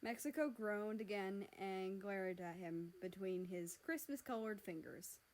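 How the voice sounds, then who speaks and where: A woman reads a piece of fiction aloud as narrator. Her pitch sits in the middle range for a female voice. The delivery is scripted storytelling. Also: unhurried. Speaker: fan fiction reader.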